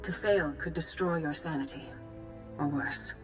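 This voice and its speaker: A woman's voice: low voice, measured pace, music in the background. A woman playing a grave Jedi grand master in a video game, formal, composed and authoritative. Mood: grave authority.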